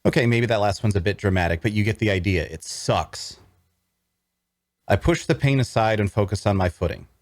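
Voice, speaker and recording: Male actor as necromancer narrator, deep voice, dry sound, wry, dramatic fantasy storytelling. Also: normal speed, dry recording, close to an everyday voice. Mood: wry, suffering. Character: wry, queasy male necromancer telling his own tale. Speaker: narrator in an audio drama table read.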